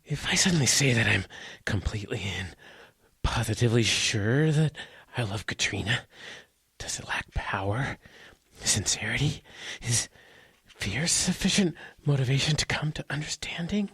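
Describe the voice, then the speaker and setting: An actor playing a desperate, freezing man in a horror audio drama, stammering and anxious in a husky voice. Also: mid-range voice, expressive, moderate pace, a bit bright, no echo, full character voice. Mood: numb, fading. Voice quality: husky, gravelly, breathy.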